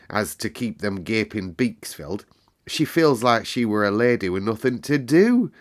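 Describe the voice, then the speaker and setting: A man voicing a gentle twelve-year-old country boy in broad, earnest Yorkshire dialect for a storybook. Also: lowish voice.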